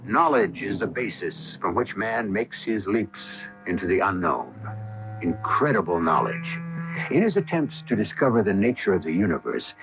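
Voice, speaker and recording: A man hosting a radio mystery series, giving dramatic scripted narration with portentous, deliberate phrasing. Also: on the low side, big pitch swings, a bit bright, faint music behind. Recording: dull lo-fi AM radio broadcast recording.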